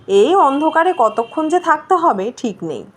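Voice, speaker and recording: A woman's voice: sits high, sing-song, moderate pace. A woman voicing a bold but wary and easily rattled city boy in a storybook tale, with brusque, questioning speech. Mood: friendly, wary. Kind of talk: storybook ghost tale character.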